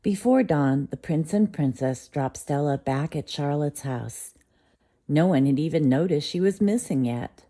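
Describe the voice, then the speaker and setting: Soft, low-voiced woman reading a bedtime story. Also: animated, a little slow.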